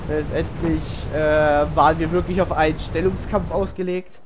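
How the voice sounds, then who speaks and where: High-voiced man with a cold, rambling. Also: fairly even intonation, moderate pace. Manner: rambling, filler-heavy, self-correcting. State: has a cold, coughs. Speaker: gamer commenting on a replay. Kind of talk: casual gameplay voice-over commentary. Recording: noisy home recording.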